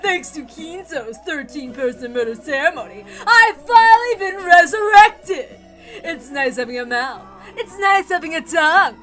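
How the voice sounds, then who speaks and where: High, gleeful, taunting young witch. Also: lively intonation, a bit bright, faint music behind. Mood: gleeful exultation. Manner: theatrical, drawn-out words, cackling laughter.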